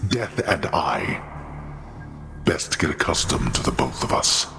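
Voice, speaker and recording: An actor voicing a grim, imperious god of the underworld in a video game, with a gravelly voice. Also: male voice, very animated, unhurried, heavily acted. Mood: grim, disdainful. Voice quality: very deep, gravelly. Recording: game clip over clear music.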